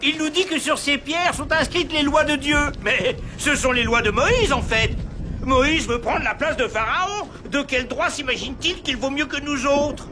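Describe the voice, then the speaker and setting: An actor voicing a defiant male rabble-rouser in an animated Bible film, heckling with theatrical sneers. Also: very high-pitched, moderate pace, noisy background. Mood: scornful, defiant. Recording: loud music and crowd behind. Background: music and crowd.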